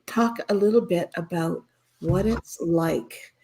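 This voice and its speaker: A woman's voice: on the low side, slow pace, dark tone. A woman hosting a live-stream interview on a video call, chatty and self-deprecating, laughing often. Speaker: host.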